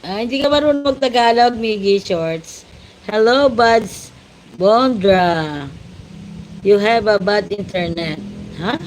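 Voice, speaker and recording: A woman with a Filipino accent hosting a casual live stream, greeting viewers with repeated shout-outs and mixing Tagalog and English. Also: medium pitch, unhurried, a little hiss or hum, poor audio. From Philippines. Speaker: livestream host. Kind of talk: casual livestream chat.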